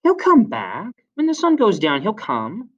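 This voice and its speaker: Guy as exasperated boy leader in a storybook, way higher pitch, short questioning bursts, bit muffled. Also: wildly swooping pitch, unhurried, heavily acted. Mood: troubled. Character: troubled boy chief, exasperated and defensive.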